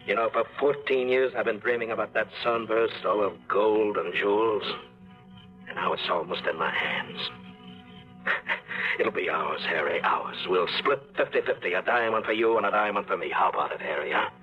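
A man's voice: lowish voice, moderate pace, natural voice. A man playing a jittery, greedy treasure hunter. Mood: feverish. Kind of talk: 1950s radio drama acting. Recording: muffled 1950s radio broadcast with music.

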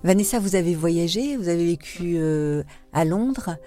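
Intervieweuse à la voix douce et sombre. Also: female voice, lowish voice, animated, normal speed, warm tone, faint music behind.

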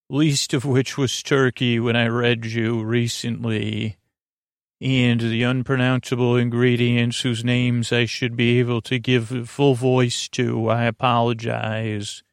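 Creaky-voiced man rambling a bedtime monologue. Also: mid-range voice, level tone, no echo, studio quality. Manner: meandering, halting, self-interrupting. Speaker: host of a bedtime sleep podcast.

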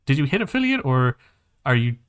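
A man's voice: medium pitch, very animated, fairly quick, clear, resonant tone. Male podcast co-host, mid-range voice, loose conversational delivery that circles and rephrases as it goes. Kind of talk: casual podcast chat.

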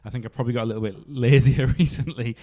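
A man's voice: medium pitch, fairly even intonation, fast, background noise. A man hosting a podcast, rambling through anecdotes and laughing mid-sentence. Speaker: podcast host. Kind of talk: casual chat.

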